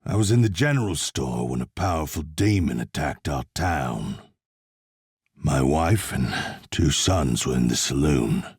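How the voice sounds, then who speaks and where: Grim Old West blacksmith turned demon slayer, husky deep voice, dry wit, laconic, video game character. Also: male voice, expressive, a little slow, a little mellow, no echo, exaggerated voice. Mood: grim, brooding. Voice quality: gravelly, husky. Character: grim, sardonic cowboy blacksmith demon hunter, adult man.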